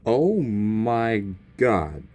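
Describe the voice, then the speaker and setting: Expressive man reacting to a game. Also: lowish voice, very slow, slight background noise. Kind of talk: casual game reaction commentary. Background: faint game music.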